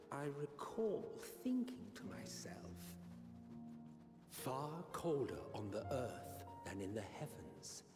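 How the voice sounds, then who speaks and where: An actor playing a solemn chronicler, narrating a bleak history in a measured, literary, dramatic manner. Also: male voice, fairly low pitch. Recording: echoey hall reverb, music behind.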